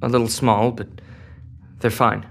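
A man playing an anxious, conflicted teenage boy in an audio drama, speaking in a halting, self-questioning way. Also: medium pitch, flat delivery. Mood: wary. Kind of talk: audio drama acting with inner monologue. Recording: long reverb, clear music behind.

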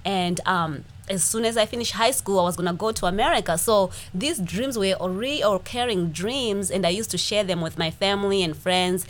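A woman in her forties with a Zimbabwean accent, co-hosting a podcast and telling her life story in a lively way, acting out the things people said. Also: mid-range voice, normal speed, few pauses. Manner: animated, acts out quoted speech. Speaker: podcast co-host. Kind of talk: casual personal storytelling.

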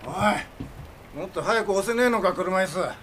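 An actor in a radio drama playing a crude, proud old film director, curt and teasing, with a gravelly voice. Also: male voice, high voice, animated, measured pace, noisy background. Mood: impatient. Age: older. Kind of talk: Japanese radio drama acting.

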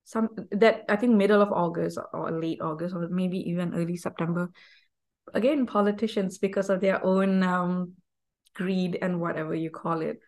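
A woman from Malaysia, speaking, chatting as a podcast guest in a lively, rambling way full of "like". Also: fairly low pitch, normal speed. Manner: chatty and animated, heavy use of "like". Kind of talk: casual conversational chat.

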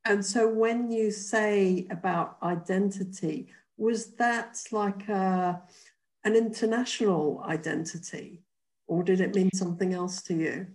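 A woman's voice: medium pitch, fairly even intonation, a little slow, darkish tone. A woman hosting a podcast interview, asking questions and encouraging her guest. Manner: encouraging, frequent back-channel mm-hmms. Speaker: podcast host.

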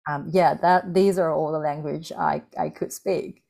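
A woman in her thirties speaks English with a Mandarin Chinese accent. Her voice sits low and carries a gravelly fry, and she tells her stories candidly, pausing on ums and restarting her phrases. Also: fairly even intonation, warm tone. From China. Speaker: guest. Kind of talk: reflective personal storytelling.

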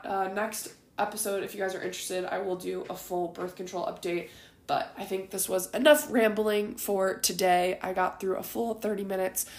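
A woman in her twenties hosting a podcast, rambling candidly in a raspy, groggy voice with plenty of ums. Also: mid-range voice, reverberant. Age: 20s. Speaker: podcast host. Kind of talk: casual solo ramble.

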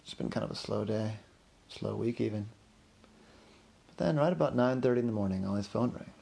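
A man's voice: lowish voice, a little fast, vocal fry, dark tone, husky. A man improvising a story in a casual, rambling, conversational way, as the host of a story podcast. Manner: rambling, conversational, acts out characters' remarks in an exasperated way.

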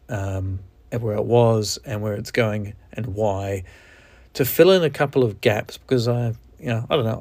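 A man in his forties with a New Zealand accent, hosting his own podcast in a reflective, halting way with frequent restarts. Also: medium pitch, darkish tone, no room sound. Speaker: podcast host. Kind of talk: candid solo podcast monologue.

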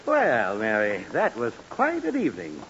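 Hissy old radio, blustering husband. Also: male voice, on the low side, wildly swooping pitch, a little slow, a bit bright, heavily acted. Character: blustering, exasperated middle-aged suburban husband and father. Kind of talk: 1940s radio comedy acting. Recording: hissy, dull 1940s radio broadcast.

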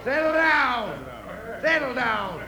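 A man's voice: very high-pitched, sing-song, takes it slowly, vocal fry, exaggerated voice. An actor playing a blustery frontier man at an unruly meeting, with an American Western drawl, in a radio western. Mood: loud, impatient. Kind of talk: old-time radio western acting. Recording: roomy old radio recording with crowd.

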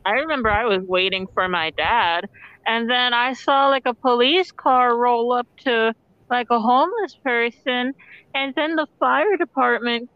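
A woman's voice: fairly high pitch, a little slow, dry recording, lo-fi sound. A teenage girl guest in a casual chat, giggly and reactive.

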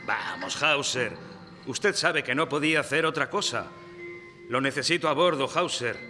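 An actor playing a young, commanding submarine captain in an emphatic live radio drama performance. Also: male voice, medium pitch, animated, normal speed, pushed and bright. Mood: probing, confiding. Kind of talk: live radio theatre acting. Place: live stage in a library before an audience. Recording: reverberant hall, music bed.

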